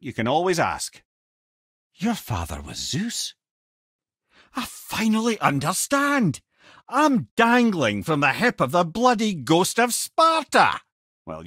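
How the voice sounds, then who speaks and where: An actor playing a witty, learned old companion in a video game, with a Scottish accent, a husky voice and a wry, eloquent, theatrical way of talking. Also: male voice, a little high, wildly swooping pitch, unhurried, a bit bright, no echo, studio quality, full character voice. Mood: wry, animated. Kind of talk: video game character dialogue, lore storytelling.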